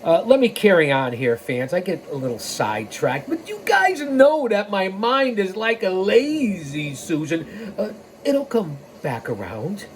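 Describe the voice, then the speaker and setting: A man's voice: high-pitched, big pitch swings, a little slow. Emphatic older American man over background noise. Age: 60s. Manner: emphatic, rambling, with sidetracks and direct appeals. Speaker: podcast host. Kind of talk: reading aloud with off-the-cuff commentary.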